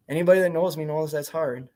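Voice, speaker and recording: A man's voice: a little high, a little mellow. A man in his forties, a truck owner-operator, chatting candidly on a livestream in a rambling way and answering viewer comments. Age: 40s. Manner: rambling, candid, repeats "you know what I mean". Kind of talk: casual livestream chat reading comments.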